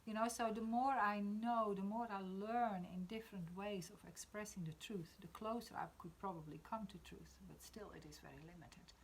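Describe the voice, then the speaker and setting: A woman in her fifties with a Dutch accent, reflecting in a spiritual conversation, often pausing to search for words. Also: mid-range voice, slight background noise, rough recording. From Netherlands. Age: 50s. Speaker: discussion participant.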